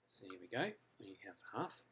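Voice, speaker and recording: An Australian man explaining a computer design program step by step in a relaxed tutorial, with frequent ums. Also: lowish voice, moderate pace, a little hiss or hum. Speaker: software author demonstrating his add-in. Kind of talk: screen-recorded software tutorial. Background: mouse clicks and keyboard typing.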